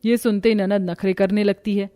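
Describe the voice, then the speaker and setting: A woman narrating a cartoon family story in a lively, expressive way. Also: medium pitch, moderate pace.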